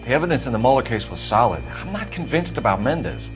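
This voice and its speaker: Man as serious detective for a crime game, clipped and questioning, lively pitch, clear music underneath. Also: quick pace, lightly acted. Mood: grave. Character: earnest, driven male police detective. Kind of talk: video game dialogue.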